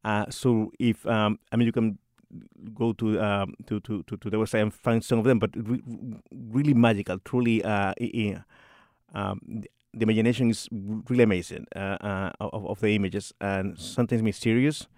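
Halting low-voiced man. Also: steady tone, a little mellow, no room sound, studio quality. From Puerto Rico. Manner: hesitant, many restarts and repeated words, laughs often. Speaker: composer and church organist. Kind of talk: radio interview.

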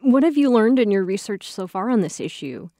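A woman hosting a public radio talk show, introducing guests and asking questions in a clear, measured way. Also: mid-range voice, lively intonation, warm tone, no echo, studio quality. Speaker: radio host.

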